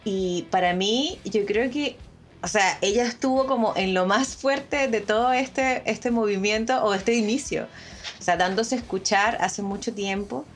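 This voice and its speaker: A woman's voice: medium pitch, unhurried, soft background music. Venezuelan woman, hesitant panel talk. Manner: hesitant, many restarts and fillers. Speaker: panel guest. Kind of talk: casual panel discussion.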